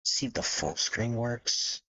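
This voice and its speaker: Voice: mid-range voice, takes it slowly, vocal fry, sharp tone, slightly rough, lo-fi sound. A live streamer chatting casually in a rambling, giggly way and swearing freely. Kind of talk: casual livestream chat.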